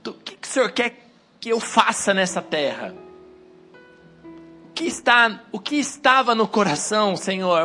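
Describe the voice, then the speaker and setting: A man preaching a sermon to a congregation on a handheld wireless mic, hoarse from a cold, exhorting and rising to emphatic peaks. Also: high-pitched, pushed and bright.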